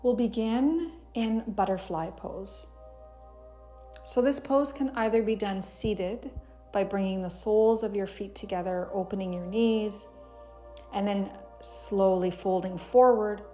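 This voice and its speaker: A woman yoga teacher leads a guided meditation at a very slow pace. Her voice is mellow and relaxed, with a gentle, soothing delivery. Music plays behind her as she gives unhurried instructions. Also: mid-range voice.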